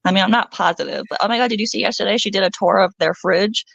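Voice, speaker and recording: Female stream guest, heavy vocal fry and husky tone, casual chat, muffled dry recording. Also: mid-range voice, fast talker, a bit bright, no room sound. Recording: dull, muffled lo-fi audio.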